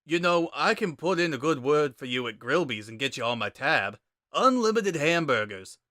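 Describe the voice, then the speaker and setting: A man's voice: on the high side, lively intonation, moderate pace, pushed and bright, no room sound, clean studio sound, pitched above the performer's own voice. A man voicing a laid-back, wry joker with a casual, sardonic drawl in a dramatic story reading. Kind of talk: dramatic reading of fan fiction.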